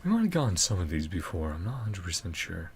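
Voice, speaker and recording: Rambling, low-key man gaming, slightly creaky. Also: sing-song, quick pace, slight background noise. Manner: rambling, easygoing, thinking aloud. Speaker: gaming video host. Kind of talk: casual gameplay reaction commentary.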